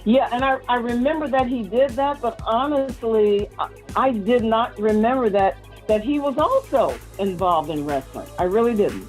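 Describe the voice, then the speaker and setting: A woman's voice: on the high side, expressive, normal speed, a little mellow, soft background music. A woman in a casual interview, reminiscing about her television work and chuckling at times. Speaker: interviewed former TV co-host and actor.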